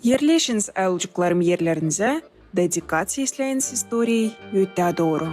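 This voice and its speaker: Animated teacher, female actor: kindly, composed, mellow tone, addressing young pupils. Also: mid-range voice, moderate pace, faint music behind, lightly acted. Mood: instructive. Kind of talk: cartoon lesson narration.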